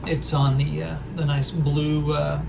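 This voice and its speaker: Hoarse man, raspy and gravelly, halting delivery, noisy recording. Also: fairly high pitch, flat delivery. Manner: halting, hesitant, full of pauses. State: hoarse from a throat injury. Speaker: record collector vlogger. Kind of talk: casual record haul show-and-tell.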